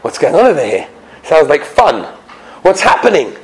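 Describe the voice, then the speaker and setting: A man voicing a firm, indignant wife who insists and threatens in an animated oral story. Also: fairly high pitch, normal speed, voice pushed down, poor audio. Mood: curious. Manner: stern, insistent.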